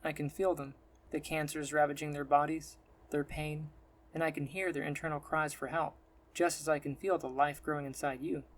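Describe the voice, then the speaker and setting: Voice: mid-range voice, little pitch movement, moderate pace, natural voice. A narrator voicing a solemn, compassionate young man with healing powers, dry and restrained. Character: solemn young male healer with godlike powers, compassionate, self-righteous.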